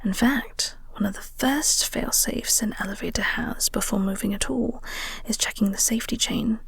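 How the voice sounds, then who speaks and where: A woman playing a flirty, caring coworker in an intimate audio roleplay, speaking softly and gently in a breathy, reassuring way. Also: mid-range voice, normal speed, no room sound. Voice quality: soft, breathy, whispery.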